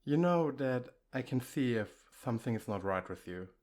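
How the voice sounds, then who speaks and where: A man playing an affectionate, reassuring boyfriend in an intimate ASMR comfort roleplay, speaking in a gentle, coaxing, conversational way. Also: medium pitch, expressive, normal speed, lightly acted. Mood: gently concerned.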